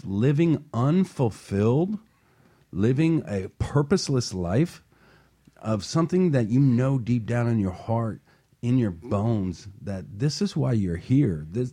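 A man's voice: mid-range voice, dark tone, slightly rough. A man hosting a motivational talk show and coaching, talking earnestly and building to passionate emphasis.

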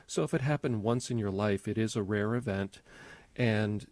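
Earnest low-voiced man explaining calmly. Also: no room sound. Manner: earnest, measured, many fillers. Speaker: co-host, religious expert. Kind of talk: calm explanatory talk.

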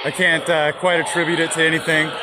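A man's voice: a little high, level tone, fast talker. A man with an American accent giving live, unscripted field commentary in a raised, urgent voice outdoors. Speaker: field news reporter. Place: outdoors in a street crowd. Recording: loud crowd and street noise.

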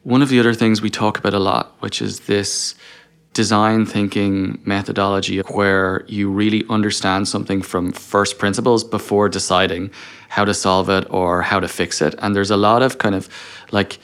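Guy with a fairly low voice on a podcast, talks things through by posing questions to himself. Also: little pitch movement, moderate pace, fairly bright tone. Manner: explains through self-posed questions and quoted inner dialogue. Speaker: podcast guest, engineering leader. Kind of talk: conversational interview talk.

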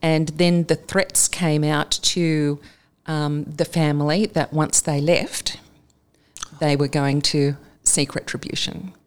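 An Australian woman in her fifties, with a notably low voice, speaks as a guest on a conversational show. She tells personal stories frankly, with plenty of ums and self-corrections. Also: level tone, slow, smooth. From northern New South Wales, Australia. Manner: candid, storytelling, with frequent ums and self-corrections. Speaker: guest, journalist and broadcaster. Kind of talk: casual conversational interview.

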